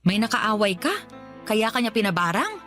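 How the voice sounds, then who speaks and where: An actress playing a gentle, concerned middle-aged aunt who goes to church, in a radio drama. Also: female voice, mid-range voice, very animated, normal speed, faint music behind.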